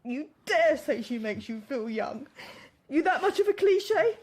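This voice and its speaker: An actress playing a bitter, wounded middle-aged wife in a radio play, upset and accusing. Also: female voice, sits high, big pitch swings, a little slow, a little mellow. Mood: hurt, furious. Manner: accusing, emphatic. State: upset, tearful.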